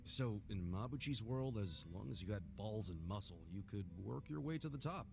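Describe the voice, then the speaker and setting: Brash good-hearted gangster in a game, lowish voice that swings a lot, blunt n slangy, music behind. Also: male voice, animated, moderate pace, creaky. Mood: curious. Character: brash, good-hearted street-tough male gangster, plain-spoken. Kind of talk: video game cutscene dialogue.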